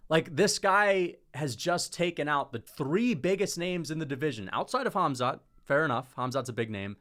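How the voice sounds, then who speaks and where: A young man giving casual commentary on fighters in an animated, opinionated rant, swearing freely and tossing in rhetorical asides. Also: fairly high pitch. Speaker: MMA commentary YouTuber.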